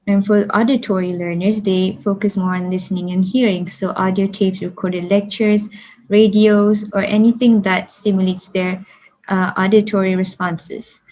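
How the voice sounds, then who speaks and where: Young female student from Malaysia, class presenter, mid-pitched, explains steadily with fillers. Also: level tone, normal speed, a little mellow, rough recording. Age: young adult.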